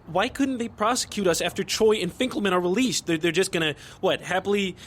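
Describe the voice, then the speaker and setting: An actor playing an anxious, reluctant programmer and unwilling accomplice in an audio drama. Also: male voice, high-pitched, a little fast, a little hiss or hum, natural voice. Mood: incredulous.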